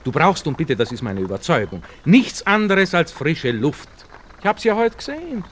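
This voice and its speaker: An actor playing a protective, worldly young Viennese gentleman who lectures his friend genially and insistently in 1960s radio drama style. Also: a little high, very animated, moderate pace. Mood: genial lecturing. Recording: noisy old radio play with outdoor ambience.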